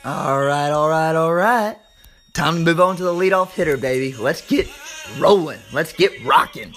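A man with a Southern US accent and a slightly hoarse voice, hosting an informal sports podcast like a hyped showman, stretching words and repeating phrases. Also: fairly high pitch, moderate pace, fairly bright tone, soft background music. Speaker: podcast host.

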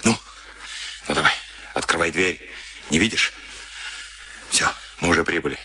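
Low-voiced, gruff camp henchman. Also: normal speed, pushed and bright, full character voice. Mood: coaxing. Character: gruff, nervous camp henchman, pleading and threatening. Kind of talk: Soviet radio play acting. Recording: noisy archival radio drama recording.

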